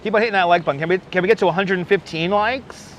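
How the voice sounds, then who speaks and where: A man from Brooklyn with a faint New York accent chats his way through a live street stream, rambling and greeting viewers by name. His voice sits a little high. He talks into a clip-on mic outdoors, with soft city traffic humming behind him. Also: fast, highs cut off. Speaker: livestream host. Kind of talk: casual live-stream chat while out in the city. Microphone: wireless clip-on mic. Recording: street noise in the background.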